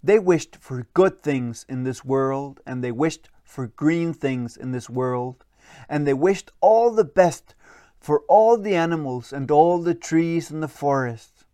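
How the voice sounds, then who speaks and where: Slow, animated bedtime storyteller. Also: mid-range voice, no echo, clean studio sound. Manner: expressive, deliberate. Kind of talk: children's bedtime story narration.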